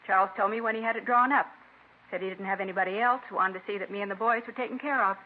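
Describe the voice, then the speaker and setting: A woman playing a sharp-tongued, indignant murder suspect in a 1950s radio crime drama, curt and sarcastic. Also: medium pitch, fairly even intonation, fast talker, natural voice. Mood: bitter. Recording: tinny vintage radio recording.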